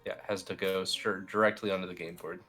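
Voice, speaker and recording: A man in a team lead role giving casual, informal step-by-step software instructions, feeling his way as he goes. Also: sits low, flat delivery, quick pace, soft background music. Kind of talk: casual step-by-step screen tutorial.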